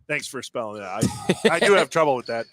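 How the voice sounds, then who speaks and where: A man's voice: medium pitch, sing-song, fast talker, a bit bright. An American man giving conversational analysis as a color commentator on a basketball broadcast.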